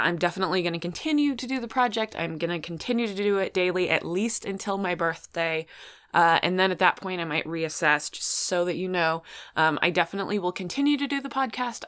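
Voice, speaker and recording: Woman, podcast host, lower-pitched, smooth clear voice, casual, rambling solo delivery. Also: a bit bright. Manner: rambling, chatty.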